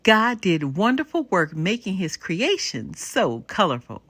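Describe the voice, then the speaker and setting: A woman voicing a kindly, wise grandmother in a children's storybook, with an emphatic, gently teaching delivery. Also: medium pitch, very animated, measured pace, no echo, exaggerated voice. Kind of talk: children's storybook read-aloud.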